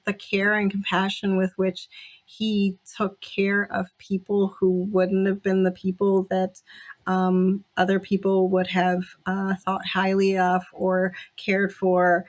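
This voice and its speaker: Woman from Jamaica, podcast guest, reflective and unhurried, pauses often to restart her thoughts. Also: medium pitch. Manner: reflective, many fillers and restarts. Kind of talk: casual interview conversation.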